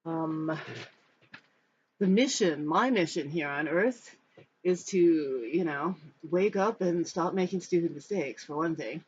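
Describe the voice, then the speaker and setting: An American in their fifties from Los Angeles, giving a personal talk to camera in a reflective, halting, rambling manner. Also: medium pitch, a little mellow. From Los Angeles, United States. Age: 50s. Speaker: spiritual storyteller. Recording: dull, muffled sound with background noise.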